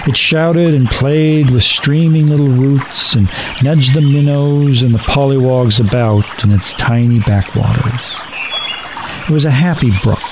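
A man narrating a story dramatically for a radio play, in a deliberate, theatrical manner. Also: medium pitch, background noise. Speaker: narrator and radio show host.

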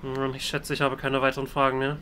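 A man role-playing his video game hero's dialogue as a let's player, with a hesitant, deliberate delivery. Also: medium pitch, completely flat delivery, bright, forceful tone, slight background noise.

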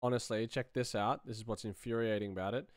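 A man's voice: fairly low pitch, steady tone, quick pace, dry recording. A man in his thirties giving casual livestream commentary as he works, muttering in a laid-back way into a close microphone. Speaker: sound designer streaming his work. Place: small room indoors. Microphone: close studio microphone.

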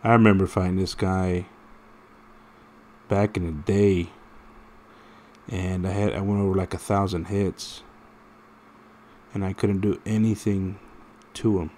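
A man's voice: low-pitched, little pitch movement, measured pace, pauses often, darkish tone, slight background noise. A man in his thirties chatting casually and rambling through stories while playing a mobile fighting game, direct and full of restarts. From United States. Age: 30s. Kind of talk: casual gameplay commentary.

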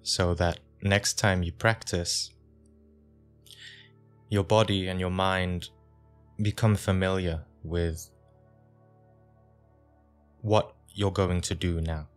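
A deep-voiced man guides a meditation in an even, level tone. He teaches calmly, leaving long pauses between short phrases. Faint music plays behind him.

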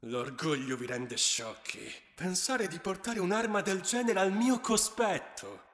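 A man voicing a scornful, menacing male god of the underworld in slow, low tones, with a heavy reverb effect on his voice. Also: lively intonation, fairly bright tone. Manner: slow, low, threatening delivery. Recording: cavernous echoing reverb effect.

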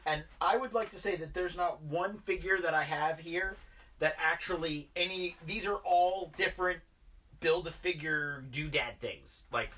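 A man's voice: fairly high pitch, a little slow, pushed and bright, recorded from a distance, rough recording. A man in his thirties chatting casually while unboxing toys in a basement, rambling with lots of fillers. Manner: rambling, filler-heavy, enthusiastic about toys. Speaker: toy collector vlogger. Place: basement toy room, indoors.

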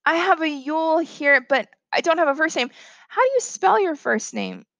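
A woman reader puts on a proper lady schoolteacher from a storybook. Her voice sits high and sounds flustered but polite. The sound is close and dry. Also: animated, moderate pace, fairly bright tone, no room sound. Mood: polite, instructive.

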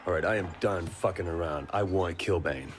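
An actor playing a brash, swaggering gang boss in a video game, speaking bluntly and casually. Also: male voice, low-pitched, normal speed, faint music behind, close to an everyday voice. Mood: cocky, irritated. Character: brash, cocky, foul-mouthed young gang boss.

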